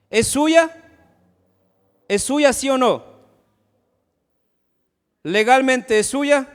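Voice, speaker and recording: High-voiced preacher in an echoing church. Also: male voice. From Ecuador. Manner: preaching with rhetorical questions and acted asides. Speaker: pastor. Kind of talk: sermon. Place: church sanctuary. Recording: long hall reverb.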